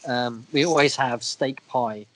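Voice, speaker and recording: A man with a croaky voice from a cold, co-hosting a podcast in casual, self-deprecating chat. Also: medium pitch, measured pace, a bit bright, rough recording. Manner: chatty, self-deprecating, a bit crude. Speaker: podcast co-host.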